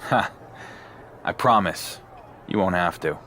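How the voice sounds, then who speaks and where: Low-voiced, laconic wrestling champion. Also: male voice, takes it slowly, pushed and bright, slight background noise, heavily acted. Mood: quietly confident. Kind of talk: video game cutscene dialogue.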